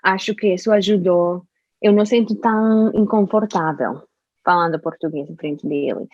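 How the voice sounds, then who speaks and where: A young woman, a native Spanish speaker, talking in an interview about learning Portuguese, with careful, halting speech and restarts. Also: lowish voice, a little mellow, no echo, highs cut off. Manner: slow, careful speech with frequent restarts and laughs. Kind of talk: casual conversational interview.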